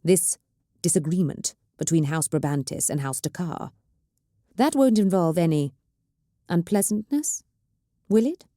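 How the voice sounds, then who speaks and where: A woman's voice: lowish voice, expressive, unhurried, long pauses, dark tone, no echo, clean studio sound. A woman voicing a stately planetary governor who speaks with courtesy and politely veiled threats. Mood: measured.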